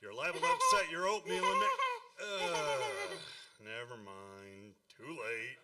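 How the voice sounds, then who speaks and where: An actor playing a distracted, dry-humored father of young boys in a radio play, unhurried in manner. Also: mid-range voice, wildly swooping pitch, recorded from a distance, very noisy. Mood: wry.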